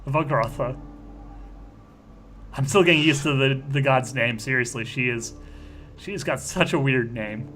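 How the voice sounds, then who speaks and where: A man's voice: mid-range voice, normal speed, pauses often, fairly bright tone, a little hiss or hum. A man hosting and playing a tabletop roleplaying game, chatting casually in a rambling, stammering, jokey way.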